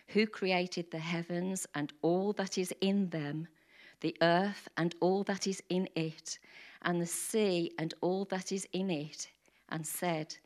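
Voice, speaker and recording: A woman with a slightly croaky voice reads scripture aloud to the congregation. Her voice sits a little low, and she reads slowly and carefully, giving each line its own measured weight. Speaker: congregation member reading scripture.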